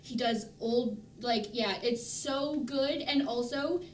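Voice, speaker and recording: A woman chatting casually and enthusiastically as she gives recommendations on a livestream panel. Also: slow pace. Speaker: guest on a charity livestream panel. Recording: roomy, distant mic, rumbling background noise.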